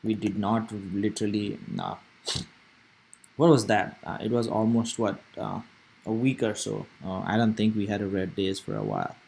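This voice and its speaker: A man's voice: on the low side, expressive, moderate pace, creaky, a little mellow, husky, low-quality recording. A young man from Nepal, giving casual live commentary on stock charts and rambling aloud with many fillers and restarts. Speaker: stock trading streamer.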